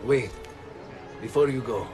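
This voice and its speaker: An actor playing a calm, dignified Renaissance nobleman from Florence in a video game, speaking formally and eloquently with an Italian accent. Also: medium pitch, unhurried, lightly acted. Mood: gracious. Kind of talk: dramatic video game dialogue. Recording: orchestral game music behind the voice.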